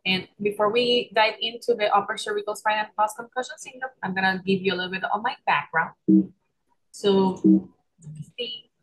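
A young woman with a Puerto Rican accent, her voice a little husky, gives a lecture over a video call. She explains step by step, often pausing with ums and restarting her sentences. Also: medium pitch, a bit bright, faint music behind, rough recording. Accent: Puerto Rican Spanish. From Puerto Rico. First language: Spanish. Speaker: chiropractor presenter. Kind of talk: online presentation lecture.

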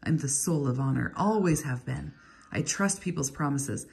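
A woman's voice: a bass voice, normal speed, gravelly, darkish tone, husky, exaggerated voice. A woman voicing a whining, obsequious older male Babylonian servant in a storybook reading. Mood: wheedling. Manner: wheedling, obsequious.

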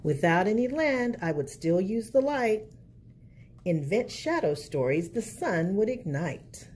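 A woman's voice: medium pitch, sing-song, measured pace, a little mellow. A woman reading a picture book aloud as a storyteller, expressively and for young children. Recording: faint background noise.

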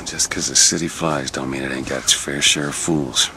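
An actor playing a gruff, weary, cynical American drifter hero in a video game, terse and muttering. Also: male voice, a bass voice, fairly even intonation, normal speed, background noise. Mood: wry muttering.

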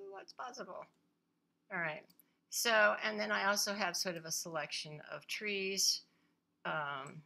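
A mature woman talks casually and a little haltingly, wandering as she presents her own work. Her voice sits on the low side, with a bright, forward edge and a strong creak. It has the informal feel of a teacher introducing herself over a screen share. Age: middle-aged or older. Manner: hesitant, rambling, casual. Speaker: fine art photographer and photography teacher. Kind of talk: informal self-introduction and portfolio walkthrough.

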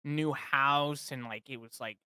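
A man's voice: mid-range voice, fairly even intonation, a little slow, pushed and bright, clean tone, no echo. A young man co-hosting a movie reaction, chatting casually and rambling with many fillers into a studio mic in a small room. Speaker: co-host of a movie reaction channel. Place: small home room, indoors. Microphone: studio mic on a stand, headphones.

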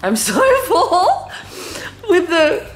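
A woman's voice: very high-pitched, big pitch swings, extremely slow. A woman chatting casually over a meal in a cabin, rambling and trailing off between thoughts. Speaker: guest at the meal. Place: cabin dining room, indoors. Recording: roomy with music behind.

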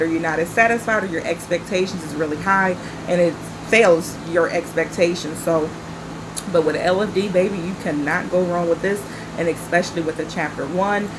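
A woman's voice: lowish voice, background noise. Nasal, stuffy-nosed woman host. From Carolinas, US. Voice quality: a little nasal. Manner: relaxed, chatty, smoking while she talks. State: stuffy nose, sniffling. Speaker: cigar vlog host. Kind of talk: casual chat to camera. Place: outdoor patio at night.

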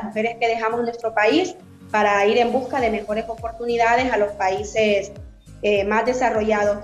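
A woman's voice: mid-range voice, a little mellow. A woman in her thirties from Honduras, an author speaking as a guest over a video call, thoughtful and hesitant, with many fillers and restarts. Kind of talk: remote interview. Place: indoor room at home. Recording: roomy video call with faint music.

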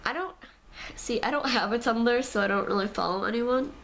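A woman's voice: on the high side, moderate pace, gravelly, fairly bright tone, slight background noise. A teenage American girl chatting casually to her webcam from her bedroom, rambling with lots of fillers. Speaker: vlogger. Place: bedroom, indoors. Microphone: webcam at close range.

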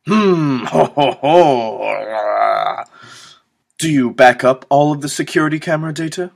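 A man's voice: medium pitch, unhurried, vocal fry. A fan voice actor playing a haughty young male prosecutor in fan-dub blooper takes, breaking into laughter between lines. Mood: smug.

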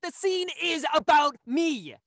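Man acting a brash, animated young guy. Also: very high-pitched, slow, dry recording, clean studio sound, heavily acted. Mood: angry, sneering. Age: young adult. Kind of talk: audio drama acting.

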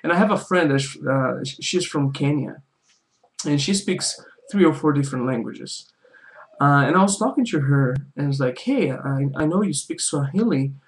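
A man's voice: on the high side, animated, poor audio. A man from Brazil with a Brazilian Portuguese accent, speaking English as a second language and explaining his views on language learning in a casual talk to camera, with frequent restarts. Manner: explaining, with many restarts and drawn-out words.

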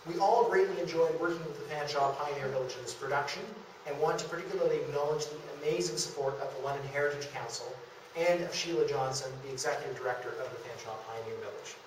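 A man's voice: mid-range voice, fast talker. A young man presenting a prepared, scripted public lecture at a podium in a meeting room. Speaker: lecturer presenting a local theatre history talk. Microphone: distant camera microphone. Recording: echoey room, distant camera, background hiss.

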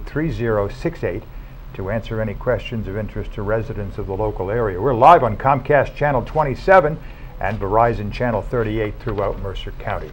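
Older American man hosting, noisy studio sound. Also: on the low side. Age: older, 70s. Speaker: TV host. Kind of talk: live call-in show presenting. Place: small TV studio. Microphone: lapel mic.

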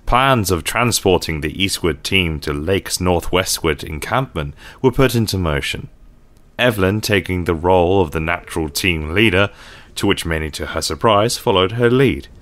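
A man's voice: deep voice, fairly bright tone, dry recording. A man narrating an audio drama in a formal storytelling manner.

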